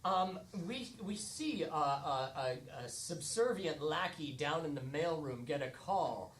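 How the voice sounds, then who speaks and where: A man narrating improvised comic scenes on stage in a halting, mock-cinematic way. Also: fairly high pitch, animated, a bit bright. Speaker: improv comedy performer and narrator. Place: theatre stage, live show. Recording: echoey theatre, faint noise.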